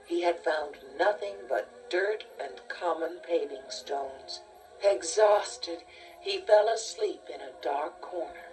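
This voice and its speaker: A woman reading a scripted children's story in a measured, expressive storytelling manner. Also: lowish voice. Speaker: storybook narrator. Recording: distant-sounding cassette tape transfer. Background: music.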